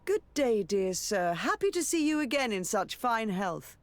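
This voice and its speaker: A woman's voice: fairly high pitch, sing-song, a little slow, no room sound. An actress playing a discreet, formally polite noblewoman in a video game. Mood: gracious, helpful. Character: courteous, discreet well-informed noblewoman. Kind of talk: dramatic video game dialogue.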